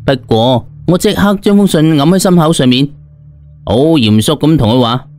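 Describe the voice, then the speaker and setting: A man's voice: fairly high pitch, expressive, moderate pace, a little mellow. A man narrating a story as an audiobook storyteller in colloquial, slangy first person, dramatizing it expressively. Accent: Cantonese. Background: background music.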